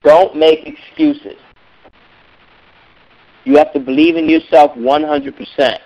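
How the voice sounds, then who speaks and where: Hoarse male coach, emphatic and repetitive, on a dull lo-fi teleseminar line. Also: a little high, very animated, slow pace, warm tone. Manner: emphatic, repeats words for stress. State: hoarse from a cold. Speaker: music business coach. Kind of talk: motivational teleseminar talk.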